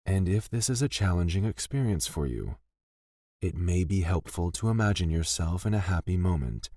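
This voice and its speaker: Deep-voiced man guiding a meditation. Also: no room sound, clean studio sound. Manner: gentle, soothing, deliberate with pauses between phrases. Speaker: meditation guide. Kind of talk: guided meditation, scripted.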